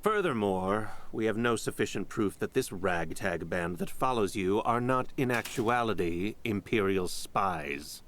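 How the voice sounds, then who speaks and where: A man's voice: lowish voice, expressive, a little slow, hardly any pauses, a bit bright, a little hiss or hum. A man playing a stern, cautious royal official in a video game, speaking in a formal, guarded, courtly way. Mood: stern, skeptical. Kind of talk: scripted video game acting.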